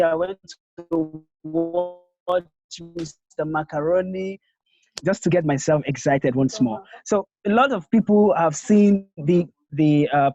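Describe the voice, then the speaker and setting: High-voiced emphatic man, lo-fi. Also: warm tone. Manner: emphatic, assertive. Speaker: guest panelist. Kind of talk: casual webinar discussion.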